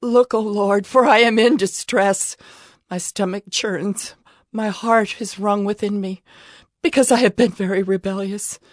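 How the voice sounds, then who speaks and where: A woman's voice: a little high, dry recording, studio quality, natural voice. A woman playing Jerusalem as a grieving, afflicted woman, pleading in anguished lament in a dramatized scripture reading over music. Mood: remorseful, distressed.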